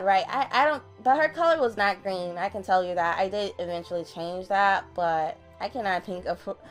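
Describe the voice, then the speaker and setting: A young woman with a deep voice, recording a casual late-night game voiceover at home on a desktop mic, chatty and self-deprecating. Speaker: gaming YouTuber. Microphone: desktop USB mic.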